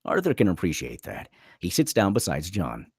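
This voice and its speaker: A man's voice: low-pitched, wildly swooping pitch, moderate pace, vocal fry, a little mellow, breathy edge, no echo, clean studio sound. A man narrating a screenplay dramatically at a table read.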